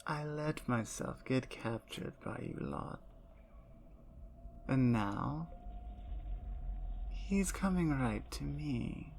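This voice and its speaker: A woman voicing a predatory, seductive female vampire in an ASMR roleplay, speaking in slow, low, teasing whispers. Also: expressive, gravelly, darkish tone, slight background noise, heavily acted. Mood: taunting.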